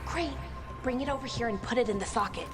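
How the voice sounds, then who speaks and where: An actress voicing an earnest, gentle young female artifact expert in a video game, speaking conversationally with slight hesitancy. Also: on the high side, fast talker, loud music behind the voice, natural voice. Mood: earnest, grateful.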